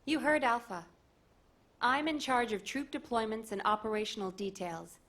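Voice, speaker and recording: A confident woman military strategist in a video game. Her voice sits a bit high, and she delivers orders and briefings with assertive determination. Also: moderate pace, a bit bright.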